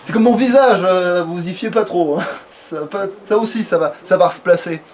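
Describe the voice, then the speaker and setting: A man's voice: high voice, normal speed, distant mic. A man chatting casually as he plays a video game, swearing and joking. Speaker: let's player. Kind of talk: casual gaming chat.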